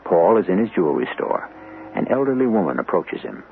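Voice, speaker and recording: An actor as a radio mystery host, narrating in a deliberate, portentous, dramatic style. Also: male voice, deep voice, very animated, creaky, slight background noise, heavily acted. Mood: measured narration. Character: grave, brooding male mystery storyteller host. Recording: tinny radio recording, music behind.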